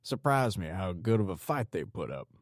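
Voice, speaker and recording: A man voicing a calm, sinister male psychopathic gangster in an audiobook, with menacing, mock-teacherly politeness. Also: low-pitched, moderate pace, no room sound, studio quality. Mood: calm menace. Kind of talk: audiobook character dialogue.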